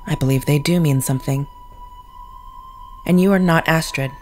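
A woman playing a formal, controlled, android-like woman in an audio drama, speaking in a stilted, measured manner. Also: low voice, a little mellow, faint music behind, close to an everyday voice. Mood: suspicious, formal.

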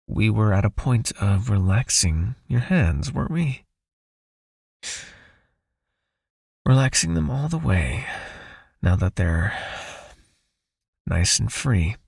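Male vampire captor, acted by a man for ASMR roleplay: very husky, unhurried, close dry sound, possessive yet soothing, coaxing his knight into obedience. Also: lively intonation, no echo, heavily acted. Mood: soothing, guiding. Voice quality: husky, breathy, low, often near a whisper. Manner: slow, coaxing, gently commanding. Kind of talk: intimate ASMR hypnosis roleplay.

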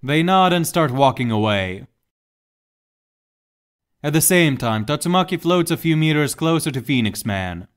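A man's voice: fairly high pitch, expressive, unhurried, long pauses, clean tone. A man reading scripted, dramatic story narration as the narrator.

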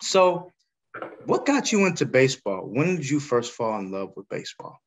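American male interviewer, middle-aged, husky tone, courteous and respectful, on a video call. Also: medium pitch, big pitch swings, normal speed. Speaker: interviewer, host. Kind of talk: sports interview.

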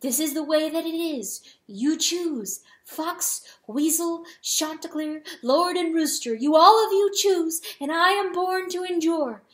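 A woman's voice: high voice, slow, darkish tone, pitched above the performer's own voice. A woman voicing a grieving, raging hen wife in a dramatic audiobook, pleading and declaiming with passion. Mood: anguished, defiant. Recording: roomy, echoing sound.

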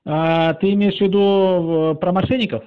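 A man's voice: high-pitched, fairly even intonation, normal speed, clean tone. Sarcastic man on a muffled call. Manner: sarcastic, teasing, drawling. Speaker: channel author pranking a phone scammer. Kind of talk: casual mocking phone chat.

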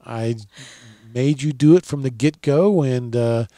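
A man's voice: medium pitch, unhurried, mellow, dry recording. A man hosting a law radio show, chatting casually with a colleague in a studio on a headset mic. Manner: rambling, folksy storytelling. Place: dark studio.

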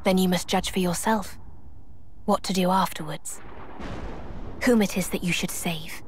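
A woman playing a composed, enigmatic guide in a video game, speaking in a measured, formal way. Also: fairly low pitch, a little hiss or hum, close to an everyday voice. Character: enigmatic, composed female guide of ancient knowledge.

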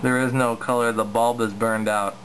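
Flat-toned man over clear background noise. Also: mid-range voice, moderate pace. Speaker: radio hobbyist presenting a demo. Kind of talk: casual hands-on demo talk. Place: indoors at a home radio setup. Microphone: handheld camera filming the equipment.

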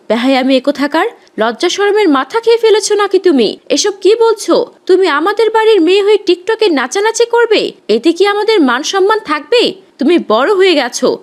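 Woman as an exasperated, very high-pitched mother. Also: big pitch swings, normal speed. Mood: scolding. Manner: scolding, emphatic. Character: exasperated, protective middle-aged mother.

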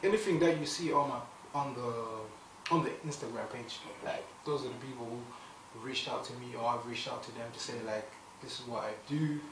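A man's voice: medium pitch, normal speed, noisy background. A young man from Zimbabwe, a clothing brand founder, chatting in a sit-down interview with plenty of fillers and restarts. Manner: animated, many fillers and restarts. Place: indoor room, seated on a sofa. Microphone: far camera mic. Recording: echoey room, voice from a distance.

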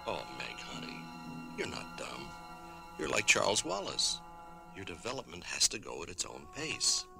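A gentle, reassuring father in an audio drama. His low, creaky voice moves through a very wide range, with music underneath. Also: wildly swooping pitch, moderate pace, exaggerated voice. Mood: tender, reassuring.

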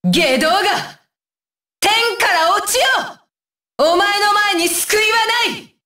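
A woman's voice: very high-pitched, slow pace, pushed and bright, full character voice. A woman playing a fierce, disdainful young female shinobi with a curt, commanding manner, in anime video game battle lines. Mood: fierce, threatening.

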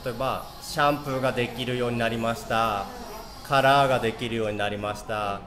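A man's voice: medium pitch, steady tone, very slow. A man from Japan, a visiting hairdresser, explaining his craft in short, simple phrases. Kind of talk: casual explaining. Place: hair salon indoors.

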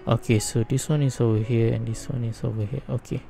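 A man's voice: mid-range voice, fairly even intonation, unhurried, creaky, music in the background. A man giving casual game playthrough commentary, muttering as he thinks aloud. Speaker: gaming video host.

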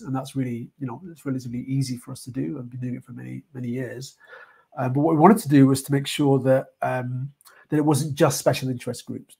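Middle-aged man, British accent, husky, fry in the voice, hesitant delivery, informal online presentation. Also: medium pitch, dark tone. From United Kingdom. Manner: halting, thinking aloud with frequent hesitations and hedging. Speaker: artist and project leader presenting a heritage talk. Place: indoor room, online video call.

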